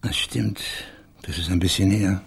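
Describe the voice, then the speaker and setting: An actor playing a blunt, dogged investigator in a radio crime drama, terse and matter-of-fact, with a husky, gravelly voice. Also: male voice, low-pitched, steady tone, measured pace, exaggerated voice. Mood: brisk reasoning. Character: dogged, blunt male investigator questioning witnesses and reasoning out a murder. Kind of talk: naturalistic German radio crime drama acting.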